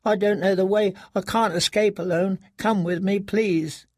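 A man's voice: high-pitched, steady tone, moderate pace, a little mellow, no echo, studio quality, pitched above the performer's own voice. A man voicing a frightened young woman who begs for help. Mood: begging. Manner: begging, trembling.

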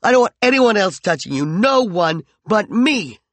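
A jealous, volatile man who mocks, rages and then pleads with heat, as a male narrator plays him. The voice is lively and gravelly, pitched a little high, and recorded dry and close. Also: a little slow, no echo, full character voice. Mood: raging. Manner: heated, mocking and intense.

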